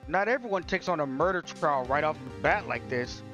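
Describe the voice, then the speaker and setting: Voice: medium pitch, animated, fairly quick, muffled recording, over music, natural voice. A game streamer voicing a composed, encouraging female senior lawyer from a visual novel. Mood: impressed. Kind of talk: visual novel game dialogue read aloud.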